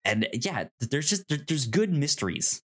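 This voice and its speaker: A man co-hosting a casual discussion of a TV show, halting and repeating words as he thinks. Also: medium pitch, big pitch swings, fairly bright tone. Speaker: podcast co-host.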